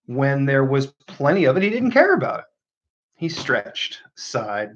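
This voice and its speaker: A man reading a novel aloud as an expressive narrator, with deliberate, dramatic pauses and emphasis. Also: mid-range voice, highs cut off.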